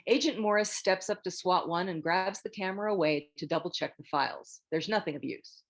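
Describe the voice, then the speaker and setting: A woman's voice: on the low side, a little fast. A woman reading scripted screenplay narration, stage directions and scene headings as a narrator.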